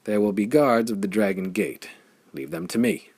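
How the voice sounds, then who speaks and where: A man's voice: fairly low pitch, big pitch swings, normal speed, vocal fry, darkish tone, husky, exaggerated voice. A man voicing a determined young swordsman hero, giving orders in a terse, urgent way. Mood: resolute.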